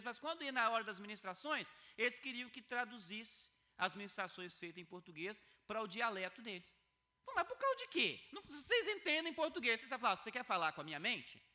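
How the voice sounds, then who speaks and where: Male pastor, video sermon; high, very bright voice; earnest, repeats phrases, shifts between soft and loud. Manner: earnest preaching with wide swings between soft low passages and loud high emphasis; repeats key phrases for emphasis.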